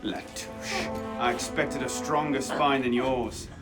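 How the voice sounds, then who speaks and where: A man's voice: medium pitch, wildly swooping pitch, takes it slowly, loud music behind the voice. An actor playing a determined young male assassin, cool and wry, politely cutting, in a video game cutscene. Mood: menacing, scornful. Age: young adult.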